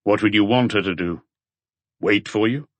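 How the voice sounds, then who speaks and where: A man voicing a weary, measured police inspector in an audiobook, muted and matter-of-fact. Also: on the low side, no echo, lightly acted. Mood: gentle, weary.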